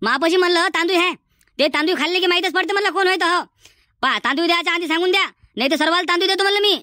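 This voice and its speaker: A man voicing an exasperated village schoolteacher in a comedy cartoon, hectoring in a Varhadi Marathi accent through a pitched-up effect. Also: very fast, pushed and bright, no room sound, studio quality. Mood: threatening. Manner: hectoring, repetitive, threatening.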